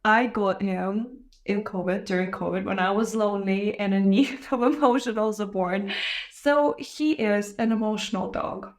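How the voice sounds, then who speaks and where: A young woman from Israel with a foreign-accented English, hosting her podcast and telling her life story in a candid, reflective way with soft laughs. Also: mid-range voice, normal speed. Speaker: podcast host. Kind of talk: casual personal monologue to camera.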